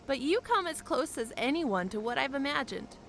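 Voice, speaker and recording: A woman's voice: high voice, very animated, normal speed, a bit bright, a little hiss or hum, full character voice. A woman playing a young airship pilot in an audio drama, practical and curious, talking in a frank, conversational way.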